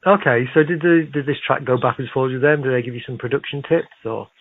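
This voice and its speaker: A man's voice: medium pitch, quick pace, slightly rough, dry recording. A man hosting a conversational radio interview in an encouraging, affirming manner. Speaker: radio interviewer, show host. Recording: narrow phone-like radio sound.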